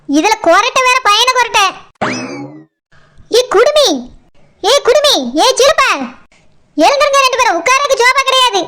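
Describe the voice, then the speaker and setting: A woman playing a bossy, irritable female house owner in a comic cartoon, scolding sarcastically in Kanyakumari Tamil, with her voice pitched up. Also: sharp tone, exaggerated voice.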